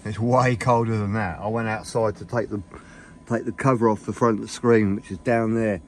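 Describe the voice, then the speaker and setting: A man chatting casually to camera from inside his camper van, rambling and enthusiastic, his voice a little hoarse. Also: lowish voice, fairly even intonation, normal speed, a little hiss or hum. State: slightly hoarse. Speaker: vlogger. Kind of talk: casual vlog chat.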